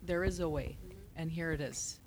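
A woman's voice: deep voice, steady tone, moderate pace, darkish tone. A woman with a Californian American accent chatting casually as a podcast guest, often saying "like". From Los Angeles, United States. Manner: peppers speech with "like". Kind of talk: casual chat.

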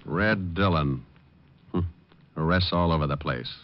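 Low-voiced wisecracking private eye. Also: male voice, a little slow. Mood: wry. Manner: sardonic, dry wisecracks. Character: suave, quick-witted, wisecracking tough male private detective. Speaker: lead actor and first-person narrator. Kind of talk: 1950s radio drama acting. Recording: dull old radio recording.

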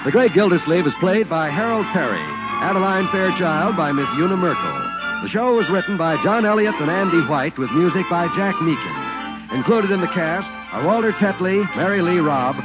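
A male radio announcer of the 1940s with a high, animated delivery, reading from a script. The broadcast sound is dull, with loud music behind him. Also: moderate pace.

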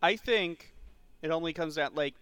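A man's voice: on the high side, normal speed, a bit bright, clear, resonant tone, dry recording. A man hosting a casual podcast about films, talking in a rambling, geeky, enthusiastic way. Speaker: podcast host. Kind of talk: casual film discussion podcast.

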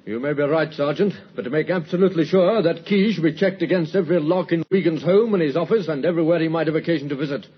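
A methodical, authoritative police inspector in a vintage radio drama. His voice is very husky and his questions are deliberate. The recording is muffled. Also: fairly high pitch.

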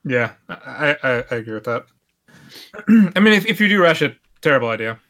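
Fast, bright, sarcastic man. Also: medium pitch. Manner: animated, sarcastic ranting. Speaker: podcast co-host. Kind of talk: casual chat.

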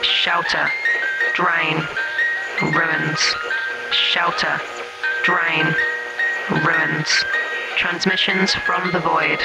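A man voicing an eerie numbers-station broadcast, reading in a slow, flat, radio-filtered drone. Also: a little high, gravelly, loud music behind the voice, voice pushed up, heavily acted, poor audio. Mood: cold, droning.

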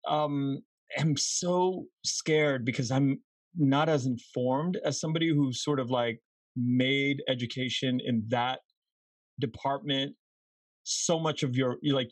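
A man's voice: mid-range voice, measured pace, no room sound. A man in his thirties hosting a podcast interview, asking rambling, self-deprecating questions. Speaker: podcast host. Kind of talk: casual interview conversation.

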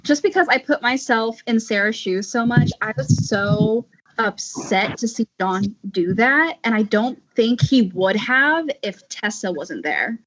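A woman's voice: on the high side, expressive, a little slow, fairly bright tone, husky. A woman speaking candidly and personally, with emphasis, in a casual panel discussion. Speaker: panel participant. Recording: dull lo-fi sound.

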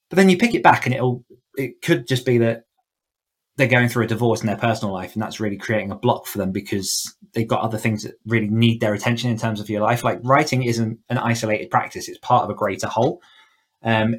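A man speaking as an author coach in a conversational podcast interview, explaining informally with plenty of "like" and "you know". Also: lowish voice. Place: indoors, in a room at home, online call.

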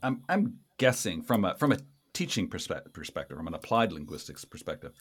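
A man's voice: deep voice, big pitch swings, a little fast, slightly rough. A man co-hosting an interview, asking a tentative question with restarts. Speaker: co-host.

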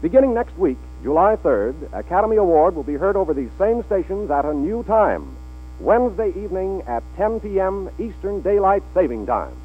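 Animated, higher-pitched vintage male radio announcer. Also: moderate pace. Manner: polished, emphatic. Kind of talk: scripted 1940s radio advertising and announcing. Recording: narrow, humming 1940s radio recording.

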